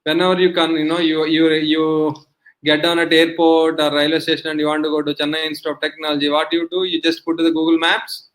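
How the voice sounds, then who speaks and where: A man's voice: on the high side, little pitch movement, quick pace, a bit bright, low-quality recording. Man lecturing, filler-heavy explanations. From India. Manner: explanatory, frequent "okay" and "uh" fillers. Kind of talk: online technical lecture.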